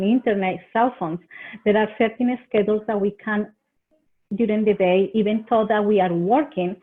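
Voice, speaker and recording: A woman from Colombia with a Colombian Spanish accent, giving earnest home-living tips in a webinar presentation. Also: medium pitch. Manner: earnest, gives advice in short phrases with some hesitations. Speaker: extension agent presenter. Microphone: video call. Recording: tinny phone-like video call audio.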